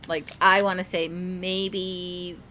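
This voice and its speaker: A woman's voice: on the low side, little pitch movement, takes it slowly, sharp tone, a little hiss or hum. A woman co-hosting a casual review, talking informally and candidly as she recalls what happened. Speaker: co-host of a haunted attraction review. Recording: music under the talk.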